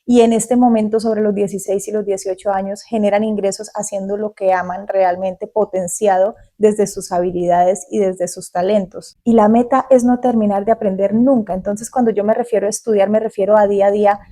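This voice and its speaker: Mujer de cuarenta años, voz cálida y tranquila. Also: female voice, medium pitch, a little fast, few pauses. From Colombia. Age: 40s. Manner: calm, earnest, speaking directly to the viewer. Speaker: vlogger. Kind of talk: reflective motivational monologue. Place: outdoors in the countryside facing mountains.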